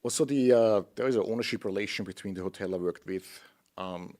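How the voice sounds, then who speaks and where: A middle-aged man from Austria with an Austrian accent, a studio guest answering questions politely and a bit haltingly. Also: lowish voice, mellow. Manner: polite, measured, slightly halting. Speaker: guest, hotel general manager. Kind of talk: interview answers.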